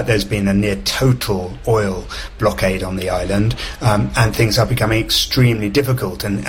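A male foreign correspondent reports live from abroad over a remote news link. His voice sits low and he lays out the situation in a measured, explanatory way. A faint hiss runs underneath.

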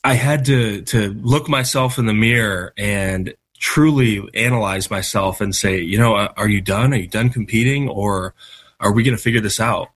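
An earnest American man in his thirties, his voice slightly husky and low-pitched. He answers interview questions reflectively and conversationally, with the odd restart as he thinks. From United States (Michigan). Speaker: interview guest, athlete.

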